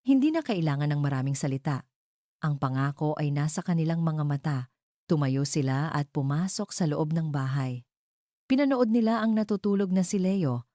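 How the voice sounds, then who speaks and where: A woman's voice: sits low, warm tone, no echo, studio quality. A woman storyteller reading a scripted romance narration in an earnest, sentimental and formal manner. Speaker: storyteller and channel host.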